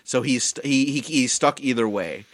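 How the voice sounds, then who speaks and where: A man's voice: medium pitch, normal speed, dry recording, clean studio sound. A man co-hosting a casual movie review podcast, a bit tipsy, rambling and stumbling over his words as he jokes. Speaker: podcast co-host.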